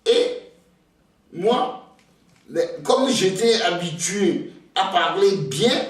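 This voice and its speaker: An older Togolese man whose first language is Ewe, speaking slowly and hesitantly, often repeating words as he starts a thought. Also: sits high, expressive, far from the mic. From Togo. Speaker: interviewee, elder. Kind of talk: slow reflective storytelling. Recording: very echoey room, voice off-mic.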